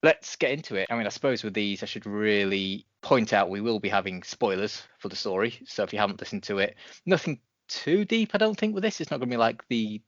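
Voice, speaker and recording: A man's voice: lowish voice, fairly quick, few pauses, a bit bright, no room sound. A man hosting a review podcast, chatting casually. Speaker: podcast host. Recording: dull, dry podcast audio.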